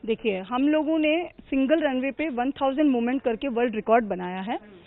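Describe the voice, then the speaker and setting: A woman's voice: high voice, normal speed. A woman air traffic control manager answering a news reporter's questions earnestly, mixing English phrases into her Hindi. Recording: narrow phone-like audio.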